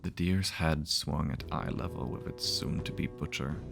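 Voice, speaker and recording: Low-voiced man narrating dark fantasy. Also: unhurried, creaky. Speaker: author and narrator. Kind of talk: scripted fantasy horror narration. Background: music.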